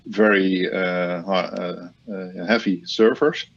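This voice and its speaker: A man talks slowly through technical answers, pausing often on fillers. His voice is very low, flat and gravelly, a little husky, and the recording sounds dull. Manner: measured, halting explanations with many fillers. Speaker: head of a supercomputing department.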